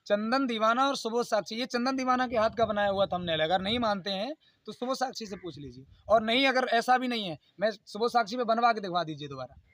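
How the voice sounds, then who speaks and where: High-pitched, insistent man. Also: a bit bright, no echo. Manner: aggrieved, insistent, repetitive pleading. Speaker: YouTube channel host. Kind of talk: unscripted video statement responding to a dispute.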